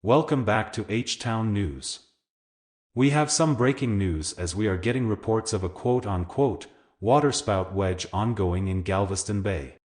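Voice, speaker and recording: A man's voice: lowish voice, measured pace, darkish tone, close to an everyday voice. A man playing a concerned local news weather anchor, giving breaking news commentary in an informal, emphatic way. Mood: urgent, concerned. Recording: echoey room sound.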